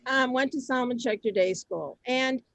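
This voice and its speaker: A middle-aged woman hosting a group on a video call, chatting informally and telling stories in a conversational, animated way. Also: high voice, moderate pace, gravelly, dry recording. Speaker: host of a synagogue group session. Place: video call, close dry sound.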